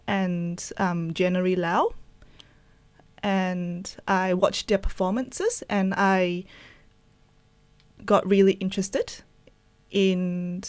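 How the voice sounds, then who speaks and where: A young woman with a Malaysian accent answering interview questions in a hesitant, halting way, often repeating words. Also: mid-range voice, a little mellow, dry recording. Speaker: dance student and interview guest.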